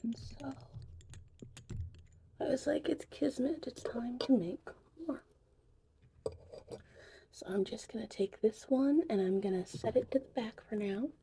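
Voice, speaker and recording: A woman hosting a soft-spoken ASMR tutorial roleplay, chatting casually in a hushed voice that drifts in and out of whispers. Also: on the high side, a little slow, vocal fry, long pauses, breathy edge. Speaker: ASMR creator and host.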